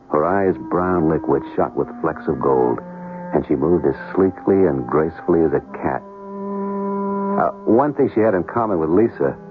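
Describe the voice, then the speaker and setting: An actor playing a cynical, philandering American military pilot who tells his own story in a radio drama. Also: sits low. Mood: wry, confiding. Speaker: lead actor and first-person narrator. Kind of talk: old-time radio drama acting and narration. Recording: muffled radio recording with music.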